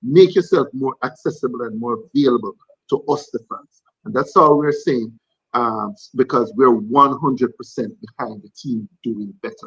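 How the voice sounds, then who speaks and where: Husky man on muffled lo-fi line. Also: mid-range voice, no room sound. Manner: measured, earnest, repeats key points. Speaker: cricket pundit. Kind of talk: panel discussion.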